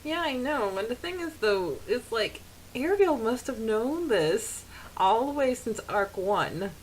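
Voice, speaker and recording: A woman co-hosting a podcast, chatting casually in a giggly, animated way as she discusses a story and reads out bits of it. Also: fairly high pitch, measured pace, low-quality recording. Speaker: podcast co-host. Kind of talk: casual fan discussion with read-out quotes. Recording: hissy background noise.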